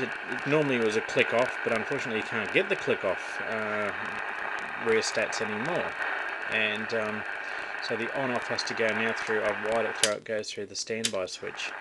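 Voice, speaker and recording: An Australian man casually demonstrating his radio hands-on, talking off the cuff with some hesitation. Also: lowish voice. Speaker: radio hobbyist demonstrating a receiver. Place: indoors at a radio shack bench. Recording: loud shortwave radio static and hiss.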